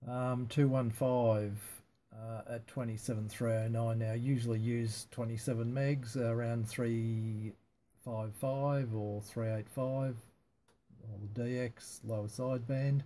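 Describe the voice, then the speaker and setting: A man talking casually and haltingly through a hands-on technical demonstration, unscripted and matter-of-fact. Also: mid-range voice, steady tone, warm tone, a little hiss or hum. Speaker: amateur radio hobbyist demonstrating antenna tuning.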